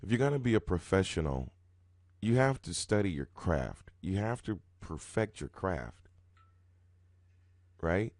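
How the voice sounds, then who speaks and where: A man hosting a roulette strategy video, talking casually in a low, groggy morning voice, rambling with many restarts and sudden jumps in pitch and loudness. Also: big pitch swings, measured pace, pauses often, no room sound, studio quality. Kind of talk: casual talking-head explanation.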